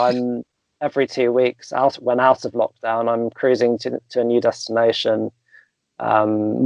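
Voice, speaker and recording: Young man, reflective, halting, restarts, mid pitch, dull dry sound. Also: creaky, a little mellow, no room sound. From England. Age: young adult. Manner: thoughtful, hesitant, many restarts and fillers. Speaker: therapeutic coach, guest. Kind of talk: casual interview chat.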